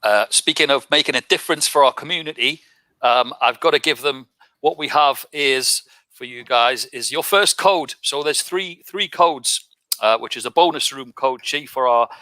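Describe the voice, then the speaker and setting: A man hosting a casual show, relaxed, with frequent "uh" and "um". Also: medium pitch, normal speed, no room sound. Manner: relaxed, filler "uh" and "um". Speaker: host.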